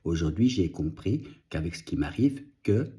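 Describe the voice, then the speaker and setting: A middle-aged man whose first language is Dutch, speaking French slowly and reflectively in a personal video update, pausing and restarting, audibly moved. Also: sits low, animated, warm tone. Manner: slow, reflective, halting with restarts. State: moved, emotional. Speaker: vlogger and author.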